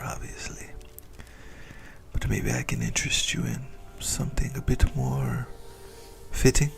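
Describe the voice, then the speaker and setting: A man playing a possessive, doting ancient vampire in a breathy, whispered ASMR roleplay, teasing and intimate as he savors his words. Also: mid-range voice, heavily acted. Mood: doting, playful. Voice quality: breathy whisper with gravelly creak.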